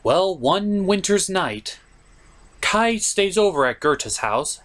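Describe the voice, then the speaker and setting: A man's voice: on the high side, fairly bright tone. A man hosting a comedic film review, narrating with theatrical, exaggerated delivery. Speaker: show host and reviewer.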